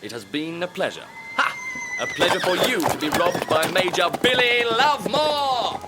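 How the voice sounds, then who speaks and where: An actor playing a dashing, flamboyant highwayman in a period audio drama, mock-courteous and theatrical with archaic speech. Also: sing-song, normal speed, heavy background noise. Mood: jaunty, bold. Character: dashing, flamboyant highwayman, gleefully robbing gentlemen.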